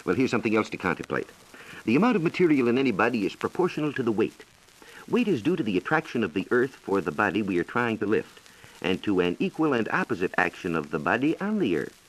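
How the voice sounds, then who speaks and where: Low-pitched American man, lively 1940s radio explainer. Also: low-quality recording. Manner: explanatory, measured, lecturing. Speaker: science reporter and guest expert. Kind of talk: scripted educational radio dialogue.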